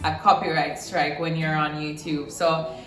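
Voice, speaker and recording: Bright Jamaican woman vlogging. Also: sits low, level tone, normal speed, faint music behind. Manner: chatty, emphatic, repeats words for stress. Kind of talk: casual talk to camera. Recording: roomy echo.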